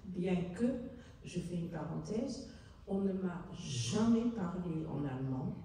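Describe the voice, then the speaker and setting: Older lady, halting, mellow voice, far off mic in a big echoey hall. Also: fairly low pitch, lively intonation, a little hiss or hum. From Netherlands. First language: Dutch. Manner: halting, searching for words, earnest. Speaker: author and guest. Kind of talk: public book talk. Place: large hall at a city hall book fair.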